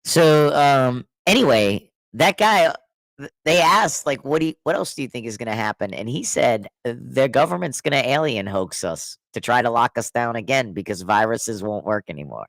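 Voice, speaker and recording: A man's voice: mid-range voice, expressive, moderate pace, creaky, a bit bright, no room sound. A middle-aged man who is sick, his throat scratchy, talks through a casual podcast in loose, rambling stretches. He often restarts his sentences and trails off. The sound is dry and close, with no room around it. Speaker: podcast co-host. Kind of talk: casual chat.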